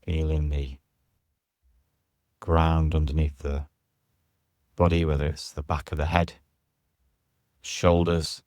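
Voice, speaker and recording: Male yoga teacher for guided relaxation: very low pitch, soothing, measured delivery with pauses. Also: little pitch movement, darkish tone, dry recording, clean studio sound. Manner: soothing, unhurried, pausing between short phrases. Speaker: yoga nidra teacher guiding a meditation. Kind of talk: guided meditation instruction.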